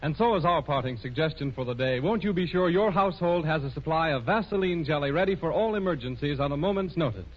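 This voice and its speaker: A male radio announcer reading a script in a formal, measured 1930s sales style. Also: on the high side, animated. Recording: muffled 1930s radio recording.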